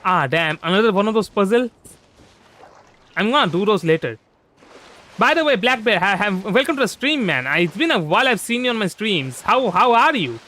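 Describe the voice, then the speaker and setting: A man's voice: high voice, very animated, normal speed. A man chatting casually through a game livestream, rambling and often restarting his phrases. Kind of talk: casual gameplay livestream commentary. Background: soft background music.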